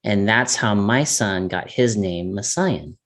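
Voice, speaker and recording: A man from California talking casually as an interview guest, animated and laughing often. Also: lowish voice, takes it slowly. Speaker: interview guest, mountain biker. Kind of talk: casual conversation.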